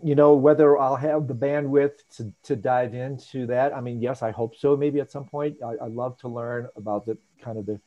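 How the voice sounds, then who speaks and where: A man hosts an online group call, talking casually with plenty of asides and jokes. His voice has a mellow, warm color. The audio comes through a dull, lo-fi video call connection. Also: medium pitch. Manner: animated, jokey, digressive. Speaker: host and meditation teacher. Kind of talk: casual teaching talk and Q&A.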